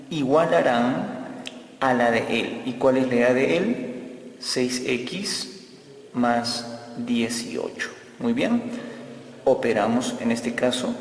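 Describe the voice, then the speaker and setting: A man's voice: medium pitch, vocal fry. A man teaching an algebra lesson step by step, repeating key phrases as he works through the problems. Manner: slow, repeats key phrases while explaining. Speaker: math teacher. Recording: echoey room with long reverb.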